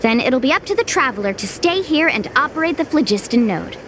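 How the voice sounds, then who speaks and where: A woman's voice: high voice, very animated, fairly quick, background noise. A woman voicing an upbeat, confident young woman adventurer in a video game, encouraging, casual and assured. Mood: decisive. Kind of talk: animated video game voice acting.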